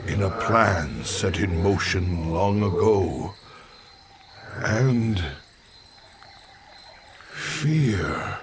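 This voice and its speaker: A man playing a grave, weary old alien warrior mystic in a video game, with a gravelly voice and a solemn, portentous delivery. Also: low voice, noisy background, heavily acted. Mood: somber, sensing. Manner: solemn, theatrical, portentous phrasing. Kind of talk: dramatic scripted game narration and dialogue.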